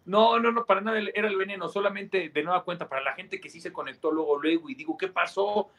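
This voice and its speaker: A man's voice: high voice, fast talker, a bit bright, slightly rough. A man chatting casually as a sports radio co-host, informal and prone to repeating words.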